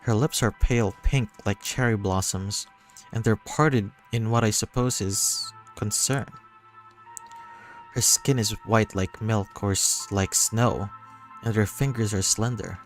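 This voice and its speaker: A man reading a visual novel as dramatic first-person narration, pausing between short phrases. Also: on the low side. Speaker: reader voicing a visual novel. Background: music.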